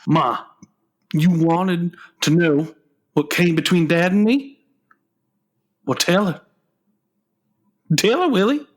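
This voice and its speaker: A man plays an earnest grown son, anguished over his music, in a comic radio drama. His voice is slightly high and he speaks slowly, halting for melodramatic weight. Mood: accusing. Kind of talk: comic radio play acting.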